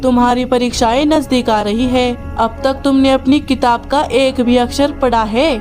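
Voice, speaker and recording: A woman's voice: high-pitched, steady tone, moderate pace, over music, natural voice. A woman voicing a grumbling father bird in a children's cartoon, with a chiding, exasperated manner. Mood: scolding.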